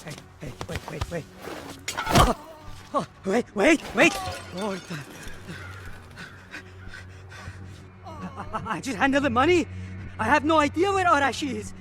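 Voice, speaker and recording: An actor playing a nervous, evasive foreign captive in a video game, pleading and stammering. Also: male voice, very high voice, animated, moderate pace, long pauses, very noisy. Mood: panicked pleading. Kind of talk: cinematic military game acting.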